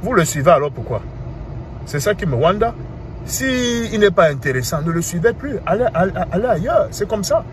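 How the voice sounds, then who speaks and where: Man, thirties, Cameroonian French accent, animated, with street traffic heard clearly behind. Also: high voice, fairly quick. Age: 30s. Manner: animated, asks rhetorical questions, repeats "hein". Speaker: commentator. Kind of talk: casual monologue.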